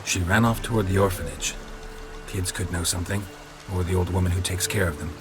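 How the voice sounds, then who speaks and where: An actor playing a gruff, stoic monster hunter in a video game, speaking tersely and deadpan. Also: male voice, low-pitched, fairly quick, over music, lightly acted. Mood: terse, businesslike.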